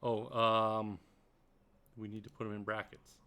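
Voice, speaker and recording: A man's voice: fairly low pitch, flat delivery, a little slow, smooth. A man teaching programming, reading passages from a textbook aloud and commenting as he works, with frequent ums and uhs. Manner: thinks out loud, many ums and uhs; reads passages then comments on them. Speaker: programming tutor. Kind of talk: screen-recorded coding tutorial, reading a textbook aloud.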